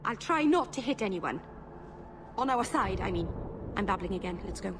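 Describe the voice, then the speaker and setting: A woman's voice: medium pitch, lively intonation, moderate pace, background noise, natural voice. A woman voicing an earnest young female elf mage in a video game, hesitant and apologetic, tending to babble and interrupt herself. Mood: flustered, apologetic. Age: young adult.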